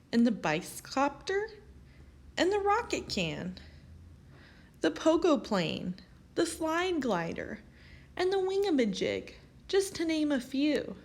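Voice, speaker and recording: Animated woman reading a children's storybook. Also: sits high. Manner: expressive, animated storytelling with acted character lines. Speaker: storybook reader. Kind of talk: children's picture book read-aloud.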